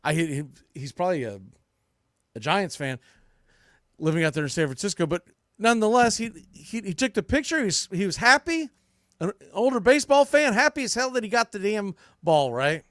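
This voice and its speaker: A man's voice: high voice, animated, moderate pace, a bit bright, no echo, clean studio sound. A man hosting a sports podcast, giving a blunt, opinionated recap with emphatic repetition and mild swearing. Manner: blunt, ranting, mildly profane; emphatic, repeats phrases for punch. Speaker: podcast host, sports commentator.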